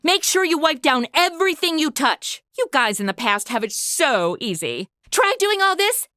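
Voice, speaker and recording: A woman's voice: high-pitched, sing-song, moderate pace, bright, forceful tone, dry recording, studio quality, full character voice. A woman playing a brash, flippant young woman from the future in a comedy audio drama, with breezy, sarcastic modern slang. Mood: bossy, impatient.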